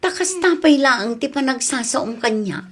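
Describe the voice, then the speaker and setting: A woman's voice: high voice, expressive, a little slow. An actress playing a hard-working, slightly peevish young woman with an Ilocano accent in a radio comedy drama. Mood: indignant. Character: hard-working young daughter, touchy about her things.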